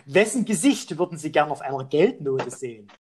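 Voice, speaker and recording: A man's voice: on the high side, lively intonation, a little fast, darkish tone, husky. A man playing a cheeky boozy pub captain. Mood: joking. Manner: playful, joking, teasing. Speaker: host. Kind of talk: comic phone interview quiz.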